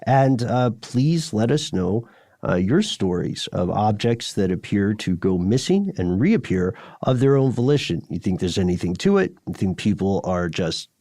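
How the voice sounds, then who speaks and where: A man's voice: lowish voice, sing-song, normal speed, dark tone, no room sound, studio quality. A man co-hosting a podcast in a conversational style, with articulate, slightly wordy phrasing. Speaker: podcast co-host.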